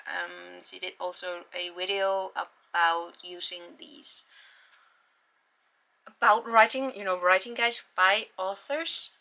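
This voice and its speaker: Young Icelandic woman, book vlogger, bright, chatty, rambling delivery. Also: medium pitch. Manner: rambling, chatty, with frequent sighs and ums. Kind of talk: casual book haul chat.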